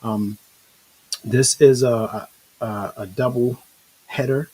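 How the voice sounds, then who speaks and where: Man, husky voice, talk show host, laid-back rambling delivery, lots of fillers. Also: medium pitch, takes it slowly, low-quality recording. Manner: relaxed, rambling, frequent restarts and fillers. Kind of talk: casual live-stream talk.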